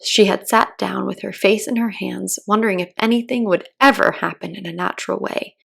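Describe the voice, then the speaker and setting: A woman's voice: mid-range voice, fairly even intonation, a little fast, creaky, a bit bright, husky. A woman narrating a children's book as a read-aloud storyteller. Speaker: storybook reader.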